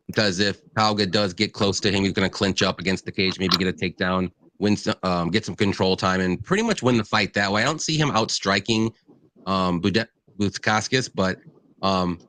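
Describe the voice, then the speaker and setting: A man's voice: low voice, normal speed, bright, forceful tone. A man hosting a casual sports-betting live stream, talking through picks with many fillers and reading out viewer comments.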